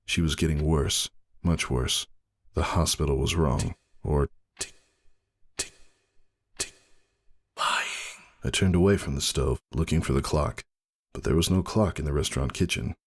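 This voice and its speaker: A man giving a dramatic first-person story reading as narrator, husky and brooding in manner. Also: rumbling low, measured pace, pauses often. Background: faint music.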